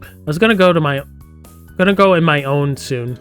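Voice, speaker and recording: This is a man with a slightly high voice, live-streaming a game. He reads the story text aloud in a casual way and drops in chatty, joking asides. Music plays softly behind him. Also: moderate pace, smooth.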